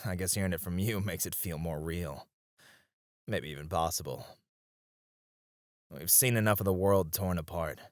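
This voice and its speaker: A man's voice: deep voice, moderate pace, pauses often, no room sound, clean studio sound, natural voice. A man playing a weary, tender warrior and teasing sparring partner in an intimate ASMR romance roleplay, speaking wistfully with chuckles and sighs. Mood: hopeful, weary.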